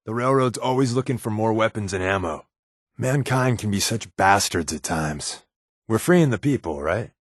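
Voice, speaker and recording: An actor playing a sardonic, joking spy companion in a wasteland video game, with a dry, deadpan delivery. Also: male voice, fairly low pitch, normal speed, no echo.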